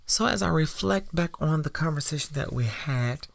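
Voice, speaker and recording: Male storyteller, first-person dramatic narration, theatrical, reflective, higher pitch, very dry close recording. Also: expressive, moderate pace, gravelly, no echo. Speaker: podcast storyteller.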